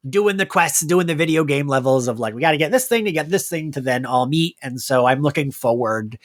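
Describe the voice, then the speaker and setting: A man's voice: fairly high pitch, brisk, no echo, studio quality. A man hosting a casual pop-culture podcast, talking informally and swearing now and then. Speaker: podcast host.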